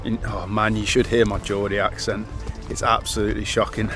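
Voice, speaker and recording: A man's voice: fairly low pitch, flat delivery, a little fast, a bit bright, soft background music. A man chatting casually to camera outdoors as a travel vlogger, upbeat and loose with fillers. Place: outdoors on a road trip.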